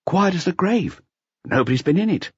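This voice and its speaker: A man voicing an eager, chatty junior police detective who briskly confides his report to the chief. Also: sits high, animated, a little mellow, breathy edge, dry recording, highs cut off, voice pushed up. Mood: brisk, confiding.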